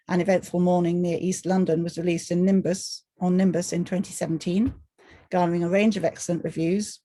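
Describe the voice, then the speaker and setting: A woman's voice: lowish voice, mellow, no room sound. A woman chairing a roundtable, reading a formal introduction of the next speaker. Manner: reads steadily from a written bio.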